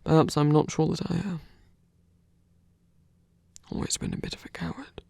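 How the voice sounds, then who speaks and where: A man playing a wary, self-doubting shapeshifting lover in an intimate ASMR roleplay, speaking haltingly in a breathy voice that often drops toward a whisper. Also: on the high side, animated, dry recording. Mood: self-doubting, reluctant. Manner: hesitant, halting, sighing, softly self-deprecating.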